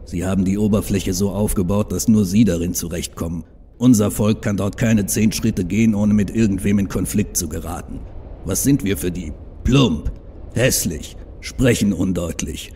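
A man's voice: low-pitched, normal speed, dark tone, a little hiss or hum, natural voice. A man playing a proud, embittered and stubborn Egyptian troll in a fan audio drama, speaking in earnest, emphatic speeches. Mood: bitter.

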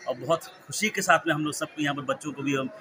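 A man's voice: fairly high pitch, quick pace, a crowd in the background. A man in his forties gives an interview into a handheld mic at an indoor town hall event, talking earnestly and at length, with English phrases mixed in. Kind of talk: news interview. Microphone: handheld reporter mic. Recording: clear hall ambience behind.

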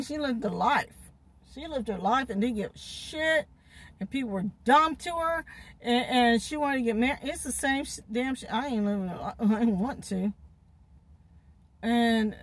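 A woman's voice: high-pitched, husky. A middle-aged woman telling a rambling, profane story in a parked car, laughing and repeating herself, recorded on a phone at arm's length. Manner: swears heavily, laughs often, repeats herself, gestures a lot. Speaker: vlogger. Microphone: phone camera at arm's length.

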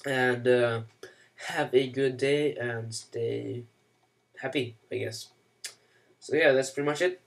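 A man whose first language is Swedish talks through a screen-recorded tutorial in a gravelly, fry-laden voice. He rambles, cracks dry jokes at his own expense and leans on "uh" and "you know". The mic sits some distance away, so he sounds a little removed. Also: mid-range voice, steady tone, slow pace, pauses often. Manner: rambling, self-mocking asides, many "uh" and "you know". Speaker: software tutorial maker.

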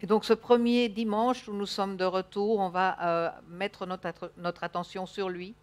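A woman's voice: medium pitch, steady tone, unhurried. A woman in her thirties interpreting a sermon live, feeling for her words at times. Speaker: church interpreter. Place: church stage, indoors.

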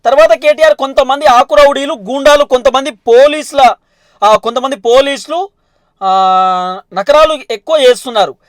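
A man's voice: very high voice, moderate pace, no room sound. A man with a Telangana Telugu accent presenting informal political news commentary in a repetitive, explanatory way.

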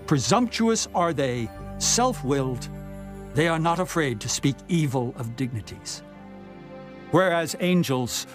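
A man narrates scripture as a dramatized reading. His voice sits somewhat higher than average for a man, and he phrases each clause solemnly, with measured emphasis. Music plays faintly behind him. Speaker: Bible narrator. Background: background music.

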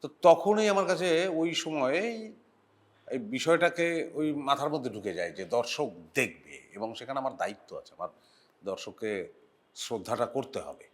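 A middle-aged man, an actor guesting on a talk show, talking in a reflective, halting way, often pausing on "ah" and letting his phrases trail off softly. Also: medium pitch, animated. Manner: reflective, halting with frequent "ah" pauses. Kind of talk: casual interview chat.

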